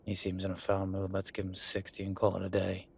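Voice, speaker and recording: A man playing a gruff, sarcastic merman who runs a tattoo shop, speaking in a halting, conversational audio roleplay style. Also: deep voice, monotone, fairly bright tone, full character voice. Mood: concerned, gruff. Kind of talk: naturalistic one-sided audio roleplay.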